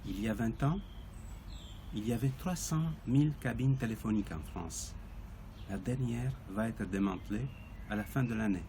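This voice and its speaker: Low-voiced man reciting poetry. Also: moderate pace, warm tone, slight background noise. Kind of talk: poetry reading.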